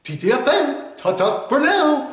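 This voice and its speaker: A man's voice: very high-pitched, pitched above the performer's own voice, exaggerated voice. A man voicing a bouncy, boastful male tiger with a chuckling, sing-song delivery. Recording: roomy echo.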